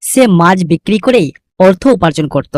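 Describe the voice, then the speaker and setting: Lively female storybook narrator. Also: lowish voice, normal speed. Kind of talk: scripted cartoon fairy-tale narration.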